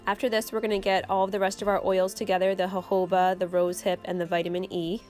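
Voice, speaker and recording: A young woman hosting her own channel, explaining a homemade recipe step by step in a relaxed, casual way from her kitchen. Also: mid-range voice, fast, faint music behind. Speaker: channel host. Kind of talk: casual how-to vlog. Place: home kitchen.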